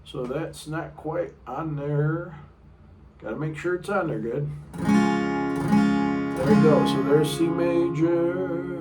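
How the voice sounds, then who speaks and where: A middle-aged man with a low baritone voice teaching a casual guitar lesson, chatty and rambling, sometimes singing short notes. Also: takes it slowly, pauses often. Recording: room mic a bit far off, guitar playing.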